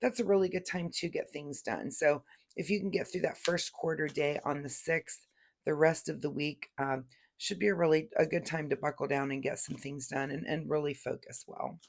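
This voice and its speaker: A woman's voice: low-pitched, steady tone, normal speed, vocal fry. A woman giving a casual, chatty tarot reading to camera while recovering from laryngitis. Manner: chatty, gentle, many fillers and restarts. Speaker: tarot reader.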